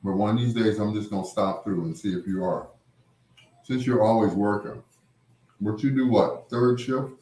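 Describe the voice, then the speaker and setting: A man chatting casually with live viewers as a livestream host, rambling and repeating phrases, with drawn-out greetings. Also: lowish voice, dark tone. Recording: roomy, echoing sound.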